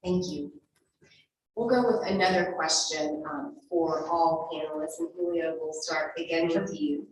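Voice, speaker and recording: Woman moderating a panel, polite, kinda low voice, lots of echo and faint hiss. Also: fairly even intonation, unhurried. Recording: very echoey room, faint noise.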